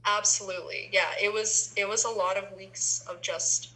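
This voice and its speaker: A woman's voice: medium pitch, steady tone, normal speed, sharp tone, husky, low-quality recording. A young woman from the Philippines, a university student, chatting casually in an interview with many ums and restarts. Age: young adult.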